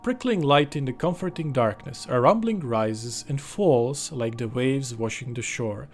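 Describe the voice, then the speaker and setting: Male game channel host reading story text and adding commentary, medium pitch, music underneath. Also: animated, measured pace.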